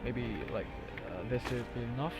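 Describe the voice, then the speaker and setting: Korean guy, accented english, chatty game tutorial, stops and restarts a lot, mid voice. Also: medium pitch. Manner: halting, with fillers and restarts, laughs now and then. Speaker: gamer recording a tutorial. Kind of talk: casual gameplay commentary. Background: game music and sounds.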